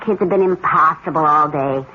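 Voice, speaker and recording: A woman's voice: low voice, normal speed, natural voice. An actress playing a tired, harried housewife and mother in a radio mystery drama. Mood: weary, curious. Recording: dull, hissy vintage radio recording.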